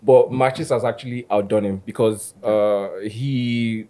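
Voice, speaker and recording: A man's voice: medium pitch, steady tone, a little slow, mellow. A Nigerian man on a podcast panel, sharing a fun fact in a playful, storytelling way. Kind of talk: casual football podcast chat.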